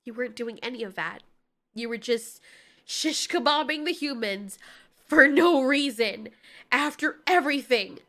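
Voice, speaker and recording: A woman's voice: fairly high pitch, normal speed, a bit bright, voice pushed down. A woman voicing a distressed female giant moth monster in an audio drama, exclamatory, stammering and incredulous. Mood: hurt, pleading.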